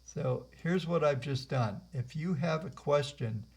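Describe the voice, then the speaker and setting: A man hosting and leading a live software training webinar over a video call, rambling with many fillers and restarts. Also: a little high, unhurried. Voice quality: gravelly. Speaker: host and trainer. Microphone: computer mic on a video call.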